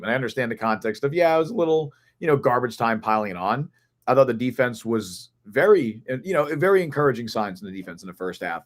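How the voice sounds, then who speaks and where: A man with a hoarse, raspy voice from losing it, co-hosting a sports podcast and thinking aloud in long, earnest run-on stretches. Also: medium pitch. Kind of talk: casual sports analysis chat.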